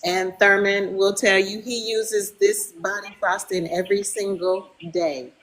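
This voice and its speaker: A woman in her fifties chatting casually as a podcast guest, bubbly and quick to laugh. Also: medium pitch, flat delivery, fairly bright tone. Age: 50s. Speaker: guest, body care business owner.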